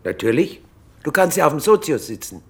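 A woman's voice: sits low, sing-song, brisk, gravelly, over music, exaggerated voice. An actress playing an older, chatty and blunt country policewoman with a southern German accent in a radio drama. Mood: miffed. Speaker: actor in radio crime drama. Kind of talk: naturalistic radio drama acting.

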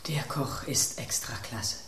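A woman's voice: a bass voice, unhurried, a bit bright, roomy sound, a little hiss or hum. A woman voicing a sensual, self-admiring salmon mousse in a radio play, snobbish and breathily swooning. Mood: sensual, rapturous. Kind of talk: German radio play acting.

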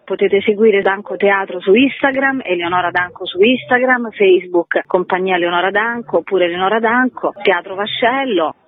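A woman's voice: mid-range voice, normal speed, few pauses. A woman theatre author and actress giving a conversational interview over a narrow phone line, explaining her work reflectively. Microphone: telephone.

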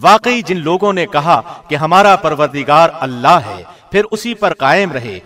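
A man reading a scripture translation from a script in a formal, solemn radio presenter's style with deliberate enunciation. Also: fairly high pitch, expressive, a bit bright. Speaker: translation reader, radio host.

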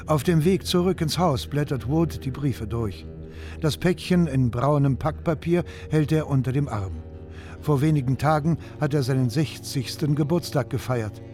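A man's voice: on the high side, normal speed, music in the background. A man narrating a scripted documentary radio drama as a narrator.

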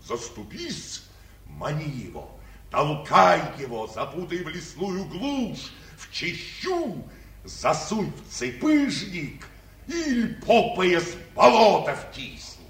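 An actor playing a commanding, protective old frost spirit, with drawn-out theatrical declamation in a 1940s radio fairy tale. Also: male voice, wildly swooping pitch, a bit bright, heavily acted. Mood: stern, scheming. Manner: booming calls, drawn-out declamation. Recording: 1949 radio recording, echoey, distant mic.

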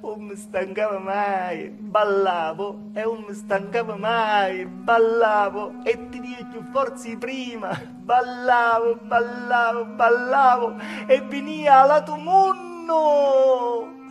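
A male stage actor with a Calabrian accent playing a simple, honest village woman in an intimate dialect monologue, confiding and halting, with repeated phrases. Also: extremely high pitch, fairly even intonation. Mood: rapturous. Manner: confiding, halting, repetitive storytelling. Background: live music.

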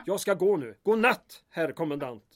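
An actor playing a shrewd, questioning small-town mayor in an audio drama, with a drily ironic manner. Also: male voice, on the high side, animated, lightly acted. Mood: dry, sardonic.

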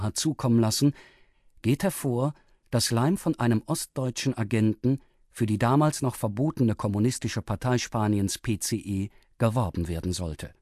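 A man's voice: mid-range voice, darkish tone, no room sound, clean studio sound. A man reading a scripted, factual story recap as a radio announcer, formal and precise. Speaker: announcer summarising the story so far.

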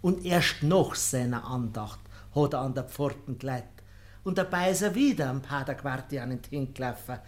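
An actor playing an envious, gossiping lay brother in a radio play, needling and insinuating with a Bavarian accent. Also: male voice, medium pitch, expressive, normal speed, close to an everyday voice. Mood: envious, scornful. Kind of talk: radio play dialect acting.

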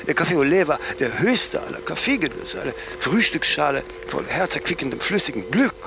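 An actor playing an imperious, self-mythologizing older fashion designer in a German radio play, speaking haughtily in aphorisms and tagging sentences with "ne?". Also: male voice, fairly high pitch, big pitch swings, fairly quick, creaky, noisy background. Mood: grand, reminiscing.

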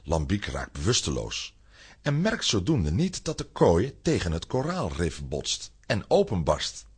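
A male narrator telling a children's adventure story as scripted drama. His voice is low and very husky, swinging widely in pitch for effect. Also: huge pitch swings, measured pace.

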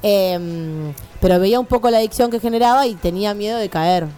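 A young Argentine woman co-hosts a casual radio chat with music underneath. She talks in a colloquial, animated way at an average pitch for a woman. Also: brisk. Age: 20s. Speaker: radio show co-host. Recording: music playing behind. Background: background music.

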